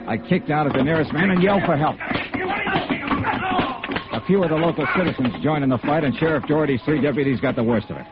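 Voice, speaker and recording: An actor playing a tough, wry male insurance investigator, narrating his case in clipped, deadpan 1950s radio noir style. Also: a little high, quick pace, heavy background noise, natural voice. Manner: clipped, deadpan, hard-boiled. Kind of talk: 1950s radio noir acting, scripted first-person narration. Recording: muffled vintage radio recording with music.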